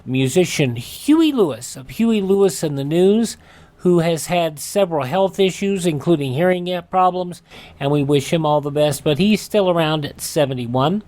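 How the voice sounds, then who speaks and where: Lively American male radio host. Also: fairly high pitch, moderate pace. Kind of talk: presenting a this-day-in-history segment.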